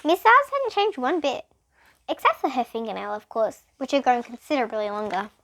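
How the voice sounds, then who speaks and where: A woman's voice: high voice, expressive, fairly quick, gravelly, a bit bright. A female reader narrating a children's storybook aloud, with occasional explanatory asides.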